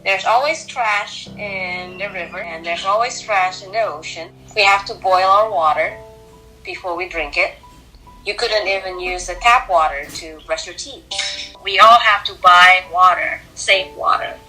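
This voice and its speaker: A woman's voice: mid-range voice, unhurried, pushed and bright, faint music behind, rough recording. A woman from Jakarta, speaking directly and emphatically as an interviewee. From Indonesia, Jakarta. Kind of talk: personal testimony.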